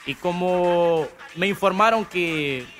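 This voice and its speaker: A man's voice: high-pitched, takes it slowly, fairly bright tone, soft background music. A man mocking a rival in half-spoken rhymes, laughing as he goes. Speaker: rapper.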